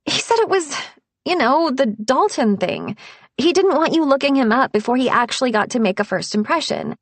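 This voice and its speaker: A woman voicing a bubbly, fast-talking young woman who is the narrator's best friend, chattering with cheer. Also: high voice, animated, dry recording, pitched above the performer's own voice. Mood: nervous. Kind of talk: audiobook character voice.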